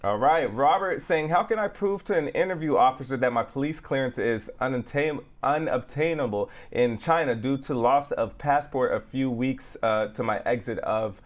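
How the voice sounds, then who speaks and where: A man with an average-pitched voice serves as co-host. He reads questions aloud from viewers and keeps the delivery casual and conversational. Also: moderate pace, few pauses. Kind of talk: reading questions aloud, casual show banter.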